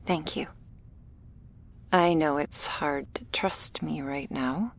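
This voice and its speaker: Woman playing a shy, slow-talking vampire girl. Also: low voice, gravelly, a little hiss or hum, full character voice. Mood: nervous, apologetic. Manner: hesitant, halting, soft-spoken with whispery moments and nervous little laughs. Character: shy, anxious, apologetic young female vampire. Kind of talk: intimate ASMR roleplay, spoken to the listener.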